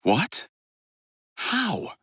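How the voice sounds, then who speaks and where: A man's voice: very slow, sharp tone, no room sound, clean studio sound. A man playing a cocky young Victorian London gang leader in a video game, with an English accent and a cheeky, wry manner. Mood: brisk, cocky.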